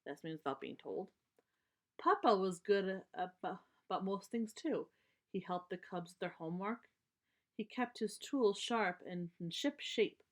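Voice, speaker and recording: A woman reading a children's storybook aloud expressively, pausing to explain words simply in an emphatic way for young listeners. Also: medium pitch, expressive.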